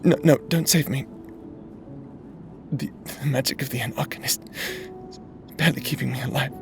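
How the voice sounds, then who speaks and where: A man's voice: medium pitch, fairly even intonation, moderate pace, vocal fry, over music, natural voice. A man voicing a remorseful necromancer husband who now haunts his partner's memory, speaking tenderly and teasingly in a roleplay audio drama. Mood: pleading. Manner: tender, teasing, softly spoken.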